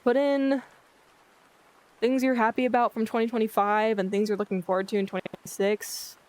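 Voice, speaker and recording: Streamer rambling to chat, flat blunt monotone, keeps forgetting what they were saying, mid pitch. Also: brisk. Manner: monotone, blunt-sounding delivery; rambling, loses track of thoughts. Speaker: livestreamer. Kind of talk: casual chat with live viewers. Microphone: filtered streaming mic.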